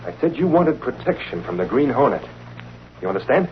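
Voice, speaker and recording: Fast-talking scheming crime boss, acted by a man. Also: medium pitch, big pitch swings, natural voice. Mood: cool, scheming. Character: scheming criminal chemist boss, cool and calculating. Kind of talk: 1930s-40s radio crime drama acting. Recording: muffled, hissy old radio recording.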